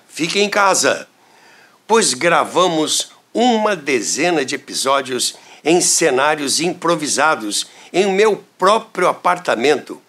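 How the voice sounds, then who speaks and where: A man's voice: fairly high pitch, a bit bright. A man hosting a history show, narrating from a script.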